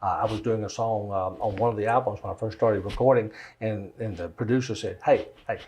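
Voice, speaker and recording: An older-sounding man with a deep Southern American accent, telling stories in a folksy, rambling way in a casual interview. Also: fairly even intonation, brisk, a little mellow. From Georgia, United States. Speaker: interview guest, songwriter and singer.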